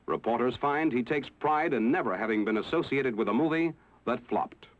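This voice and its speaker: 1960s newsreel narrator, tinny sound. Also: male voice, mid-range voice. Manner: measured, formal announcer delivery. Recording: tinny lo-fi old film soundtrack with music.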